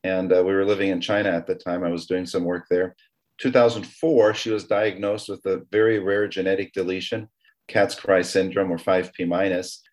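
A middle-aged man from Taiwan telling personal stories as an interview guest, conversational and a little halting. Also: deep voice, a little mellow.

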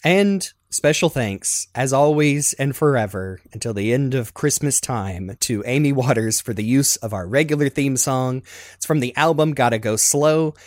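A man's voice: medium pitch, normal speed, no room sound, studio quality. A man hosting a casual podcast, chatting in a rambling, self-deprecating way. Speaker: podcast host.

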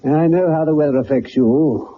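An actor playing a genial, loyal gentleman with an English accent, well-spoken and literary, in the style of 1960s radio drama. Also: on the high side, moderate pace, lightly acted. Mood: jovial, teasing. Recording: muffled, noisy 1960s radio recording.

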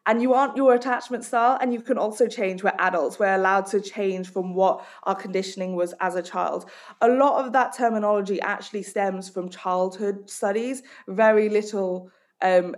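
Direct, emphatic woman from Hong Kong. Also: mid-range voice. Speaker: life coach and author. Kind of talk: conversational interview answers.

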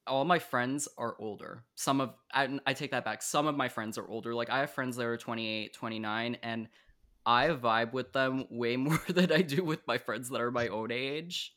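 A man's voice: medium pitch, normal speed, sharp tone. Chatty young man hosting a podcast. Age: 20s. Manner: chatty, enthusiastic, with frequent restarts. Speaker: podcast host. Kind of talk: casual interview chat.

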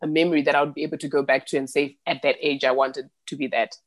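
Guest: woman, late 30s, raised in Zimbabwe, lowish voice, thoughtful and meandering. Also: flat delivery, fast talker. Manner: thoughtful, rambling with restarts and laughs. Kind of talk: casual interview chat.

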